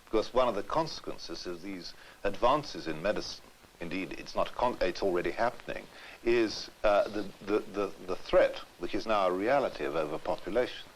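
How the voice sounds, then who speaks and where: A man giving formal interview commentary as an expert, articulate and measured, with firm emphasis on key points. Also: deep voice, expressive, poor audio. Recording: faintly hissy 1960s film soundtrack.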